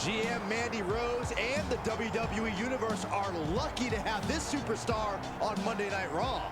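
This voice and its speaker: A man's voice: sits high, normal speed, loud music behind the voice, natural voice. A man playing an earnest wrestling color commentator in a video game. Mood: admiring.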